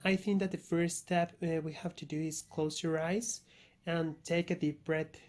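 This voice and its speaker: A man with a Spanish accent speaks as an oracle card reader addressing viewers. His delivery is flat in pitch and halting. He often searches for words, as Spanish is his first language. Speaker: tarot and oracle reader, channel host. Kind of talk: informal spoken introduction to an oracle card reading.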